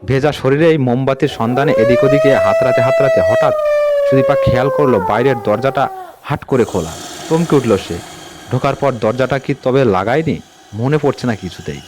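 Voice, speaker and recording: A man's voice: mid-range voice, huge pitch swings, moderate pace, heavy background noise. A man hosting and narrating a horror audio story in a dramatic storytelling style. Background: music under the voice.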